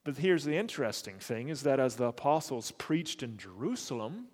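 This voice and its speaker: A man's voice: mid-range voice, sing-song, normal speed, in a large hall. A man giving an unscripted Bible lesson, explaining patiently and repeating phrases for emphasis, with occasional fillers. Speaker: Bible teacher.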